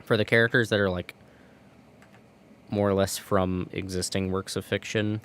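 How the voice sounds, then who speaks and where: A man's voice: low voice, fairly even intonation, normal speed, a bit bright, slight background noise. A man streaming from home, chatting casually while gaming, rambling and restarting his words.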